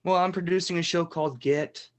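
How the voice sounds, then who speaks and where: A man's voice: high voice, fairly even intonation, natural voice. Man playing a theatrical teasing game show host. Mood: showy, teasing. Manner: repeats questions with drawn-out emphasis. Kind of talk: improvised game show parody hosting.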